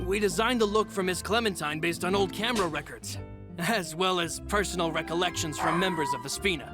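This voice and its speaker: Guy acting a loyal polite butler type in an anime game, slightly high voice, formal wording, music behind. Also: brisk, close to an everyday voice. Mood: explaining. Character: loyal, courteous gentleman aide to his boss.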